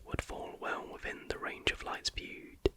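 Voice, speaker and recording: An ASMR host reading factual material aloud in a breathy, unvoiced whisper with a measured, hushed delivery.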